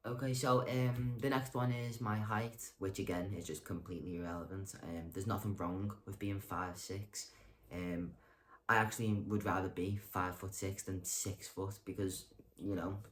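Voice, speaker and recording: A young man with a low voice talks straight to the camera in a loose, rambling monologue. He stumbles through ums and restarts, keeps correcting himself, and delivers his jokes deadpan. Faint noise sits behind him. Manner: rambling, hesitant, full of ums and self-corrections; deadpan self-mocking humor. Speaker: vlogger. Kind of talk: casual talking-to-camera monologue.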